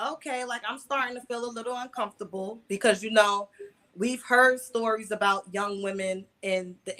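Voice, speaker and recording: A young American woman speaks candidly as an interview guest, her voice bright and forceful at an ordinary female pitch. She tells personal stories colloquially, stressing points by repeating words. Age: mid twenties. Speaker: interview guest, online video creator.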